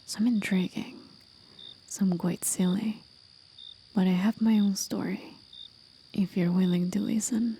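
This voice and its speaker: Woman as contemplative demon guide for ASMR roleplay: hushed, mellow whisper, unhurried, faint hiss and crickets behind. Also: mid-range voice, fairly even intonation. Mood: gentle, reflective. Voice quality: soft, breathy whisper. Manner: slow, contemplative, soothing. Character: gentle, musing demon guide, curious about humans.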